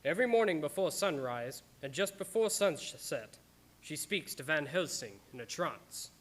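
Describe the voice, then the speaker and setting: A man acting solemn journal-reading young man, higher-pitched. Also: big pitch swings, normal speed, a bit bright. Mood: solemn, tense. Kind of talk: dramatic radio-play narration. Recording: live-streamed radio play with music bed.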